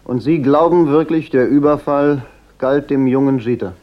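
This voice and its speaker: Actor as cool private detective, slightly muffled sound. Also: male voice, mid-range voice, normal speed, lightly acted. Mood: wry, probing. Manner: dry, wry, unruffled retorts. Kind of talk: German radio crime drama acting.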